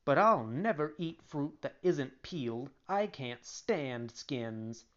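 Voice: mid-range voice, animated, slow pace, highs cut off. A reader voicing a whiny, petulant little wooden puppet boy in a storybook, with childish, breathless pleading. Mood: fussy.

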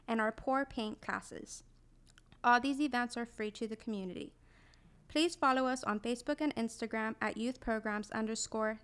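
A woman's voice: fairly high pitch, fairly bright tone, clear, resonant tone. A woman hosting a podcast, reading announcements in an upbeat way and then interviewing a guest. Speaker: podcast host, program specialist. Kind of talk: scripted podcast intro and interview.

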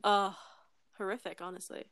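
A woman's voice: mid-range voice, little pitch movement, moderate pace, a bit bright. A young woman with a British accent, chatting casually as a podcast guest and laughing often. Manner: giggly, laughs often between phrases. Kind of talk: casual chat.

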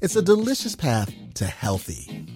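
A man reading a scripted commercial in a smooth promotional manner. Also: a little high, huge pitch swings, normal speed, darkish tone, faint music behind. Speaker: ad announcer.

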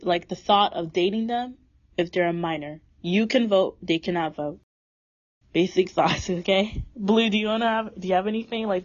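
A woman's voice: fairly low pitch, no room sound. A woman in her twenties hosting a casual podcast, talking in a chatty, opinionated way with lots of fillers. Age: 20s. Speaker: podcast host. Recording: dull lo-fi sound.

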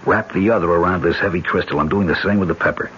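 An actor with an American accent playing a tough, decisive ex-agent in a radio drama, speaking in terse, commanding slang. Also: male voice, low voice, fairly even intonation, fast, a little hiss or hum. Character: tough, decisive American ex-secret-service man leading an escape. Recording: dull old radio broadcast, loud music behind. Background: music.

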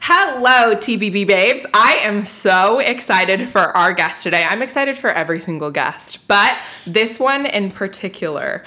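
A woman hosts a podcast in a bubbly, upbeat way, leaning on "like" as she chats. Her voice sits at an ordinary middle pitch, and faint music plays underneath. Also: lively intonation, measured pace, few pauses, pushed and bright. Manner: bubbly, upbeat, says "like" a lot. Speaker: podcast host. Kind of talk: casual podcast chat.

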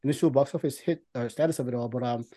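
Male podcast host, very quick delivery, casual and rambling, circles back mid-sentence. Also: medium pitch, dark tone. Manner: rambling, halting, often restarting sentences; profane. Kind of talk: casual film discussion.

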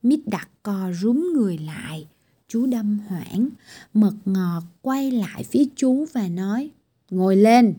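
A woman narrating a children's fairy tale, reading slowly and clearly. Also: mid-range voice, lively intonation, dark tone. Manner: slow, clear storytelling. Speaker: storyteller.

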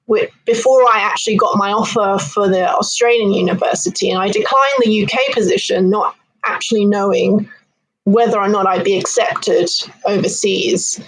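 A woman's voice: medium pitch, fairly even intonation, moderate pace, slightly rough, rough recording. A woman from London with a British accent, speaking conversationally as an interview guest and telling personal stories. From London, United Kingdom. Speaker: interview guest, marine biologist.

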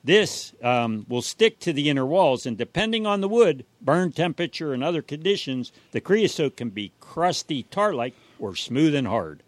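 Casting: male co-host for how-to radio, voice a little high, scripted conversational tips, very dry recording. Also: very animated, normal speed, few pauses, no room sound. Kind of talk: scripted home-improvement radio banter.

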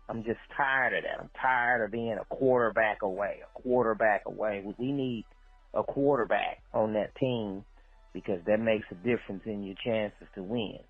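A man's voice: on the low side, husky, no room sound. A man giving his casual opinions as a sports fan in a voicemail, repeating phrases for emphasis. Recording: muffled voicemail, music behind.

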